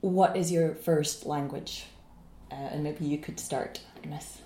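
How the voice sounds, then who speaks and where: A woman's voice: low-pitched, fairly even intonation, takes it slowly. A woman hosting a poetry podcast, reading an introduction to her guests and then asking them questions. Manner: warm, enthusiastic introductions. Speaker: podcast host. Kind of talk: scripted intro and interview questions.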